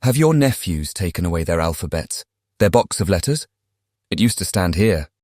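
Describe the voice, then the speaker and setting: Male actor as playful young British gentleman, deep voice, dry close sound, smooth teasing politeness, classic novel dramatization. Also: sing-song, moderate pace, dry recording. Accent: British English.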